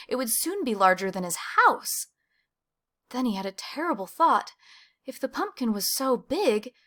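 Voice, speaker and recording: A woman reading a children's picture book aloud, with animated emphasis on key words. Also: a little high. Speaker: storybook reader.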